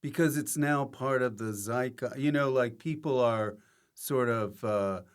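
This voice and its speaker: A man's voice: mid-range voice, measured pace, smooth. A man hosting a casual comedic interview, interjecting with jokes as he chats. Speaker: podcast host.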